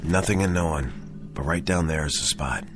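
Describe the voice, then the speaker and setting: An actor playing a grave, commanding army sergeant in a radio drama, intense and deliberate. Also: male voice, very deep voice. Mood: grave, brooding. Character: grave, commanding male army sergeant, convinced of an eerie theory. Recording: music bed under radio drama dialogue.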